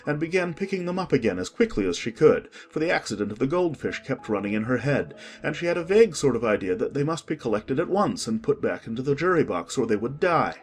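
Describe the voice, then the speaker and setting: A man narrating a storybook in a lively, expressive manner. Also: medium pitch, fast, few pauses, faint music behind.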